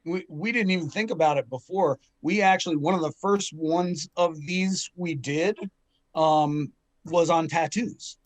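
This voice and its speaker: Male podcast host, slightly high voice, rambling storyteller. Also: unhurried. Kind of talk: casual group chat, telling a joke.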